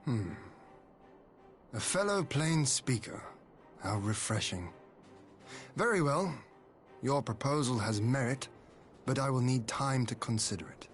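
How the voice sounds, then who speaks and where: Dignified, wary lord, acted by a man, medium pitch. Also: expressive, natural voice. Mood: composed, gracious. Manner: formal, measured, direct. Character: dignified, plain-spoken, wary Eastern lord. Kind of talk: scripted video game voice acting. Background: background music.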